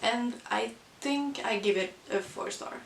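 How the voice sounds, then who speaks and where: A woman who is not a native English speaker, chatting casually about books as a vlogger in a halting, hesitant way. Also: fairly high pitch, creaky. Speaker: book vlogger. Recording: roomy, distant mic with hiss.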